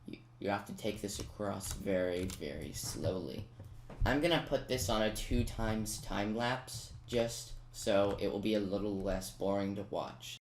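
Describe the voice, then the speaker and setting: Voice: sits low, measured pace, reverberant, slight background noise. A gaming commentator giving casual step-by-step gameplay guidance, informal and talking directly to the viewer. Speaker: video game commentator.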